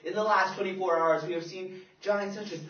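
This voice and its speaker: Man as earnest 1930s radio anchor, slightly high voice, echoey. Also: steady tone, brisk, a bit bright. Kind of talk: scripted news broadcast acting. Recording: echoey, distant, dull amateur recording.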